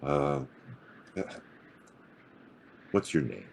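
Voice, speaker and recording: An actor playing a hard-boiled, wisecracking private detective in a noir radio drama, with a gravelly, husky voice and a sardonic drawl. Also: male voice, a bass voice, big pitch swings, normal speed, heavily acted. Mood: probing, wry. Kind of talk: noir radio drama acting and first-person narration.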